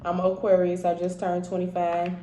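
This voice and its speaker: A woman's voice: fairly low pitch, monotone, moderate pace, mellow. Woman in her twenties, tipsy, chatty mukbang talk, echoey room, faint background noise. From Indiana, raised in Miami and Georgia. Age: 20s. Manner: chatty, chews and hums while talking. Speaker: mukbang vlogger. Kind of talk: casual eating vlog chat.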